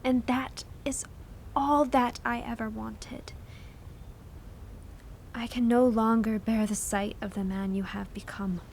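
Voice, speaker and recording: An actress playing a sorrowful, gentle young woman in an audio drama, breathy, grave and often half-whispering. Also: on the high side, steady tone, slow, pauses often, a little hiss or hum. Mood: sorrowful, resigned. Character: Belle, sorrowful, gentle young woman leaving her betrothed.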